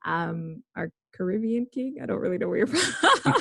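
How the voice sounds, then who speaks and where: A young woman hosting a casual interview, upbeat and quick to laugh. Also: fairly high pitch, very animated, creaky, fairly bright tone, slightly rough, no room sound, studio quality. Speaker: podcast host.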